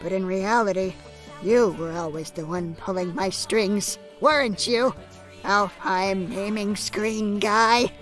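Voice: medium pitch, slow, fairly bright tone, faint music behind. An actor voicing a sardonic, exasperated teenage gamer boy in an anime parody dub, sarcastic and melodramatic.

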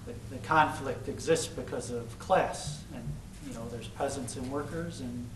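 A man's voice: mid-range voice, a little slow, far from the mic, slight background noise. Chicago-accented man lecturing in a roomy hall. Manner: conversational, many "you know" fillers. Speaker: guest lecturer. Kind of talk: informal lecture and Q&A. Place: large lecture room, indoors. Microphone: far camera, podium mic.

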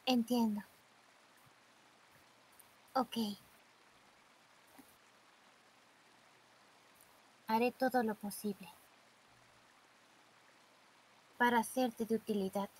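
A woman voicing a quiet, emotionless teenage girl in a live fan dub of a game, speaking in a flat, calm, breathy way. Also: a little high, slight background noise, natural voice, rough recording. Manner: flat, calm, slow questioning.

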